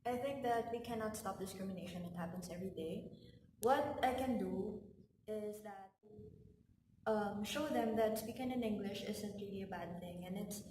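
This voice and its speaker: A woman with a Filipino accent, whose native language is Tagalog, answers interview questions in a thoughtful, measured way. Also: medium pitch, warm tone. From Philippines. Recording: distant mic, echoey room, noise.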